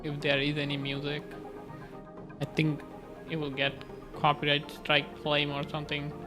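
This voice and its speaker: A man's voice: fairly high pitch, monotone, moderate pace, over music. A man doing casual live game commentary as a streamer, musing informally and reacting to the story.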